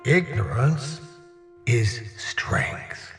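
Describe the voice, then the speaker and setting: An actor playing a commanding totalitarian leader in an audio drama, declaiming grandly to the masses. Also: male voice, medium pitch, lively intonation, drawn-out words. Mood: grandiose, commanding. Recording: long hall reverb, loud music and crowd.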